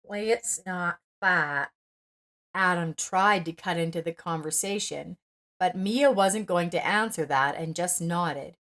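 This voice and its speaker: A woman narrating a scripted story in an even, measured reading. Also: fairly low pitch.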